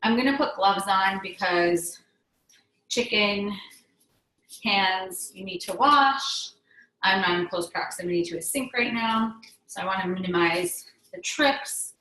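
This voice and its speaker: A woman's voice: medium pitch, unhurried, pushed and bright, roomy sound, rough recording. A young woman whose first language is Hebrew, chatting enthusiastically while teaching a cooking class in a home kitchen, with lots of ums and restarts. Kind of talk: casual cooking demonstration.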